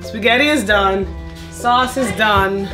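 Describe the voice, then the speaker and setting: A woman's voice: mid-range voice, slow pace. A woman in her thirties chatting casually while cooking dinner in a small home kitchen, upbeat and laughing often, recorded on the camera mic with music and clattering dishes behind her. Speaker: vlogger, mom cooking dinner. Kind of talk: casual cooking vlog chat. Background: music and clattering pots and dishes.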